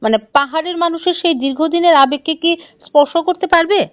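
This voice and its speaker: A woman's voice: extremely high pitch, fairly quick, no room sound. A woman working as a news interviewer, putting formal questions to a guest. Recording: thin, narrow phone-like sound.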